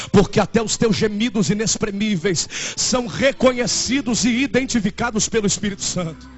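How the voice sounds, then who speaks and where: A man's voice: high-pitched, dull audio. A young man in his twenties with a Brazilian Portuguese accent, preaching a fervent and emotional sermon as a pastor. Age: 20s.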